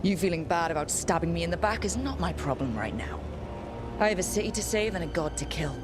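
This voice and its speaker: An actress playing a determined, betrayed hero in a video game, direct and plain-spoken. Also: female voice, on the low side, lively intonation, fairly quick, over music, natural voice. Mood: angry, accusing. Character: blunt, betrayed, determined young female fantasy hero. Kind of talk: dramatic video game voice acting.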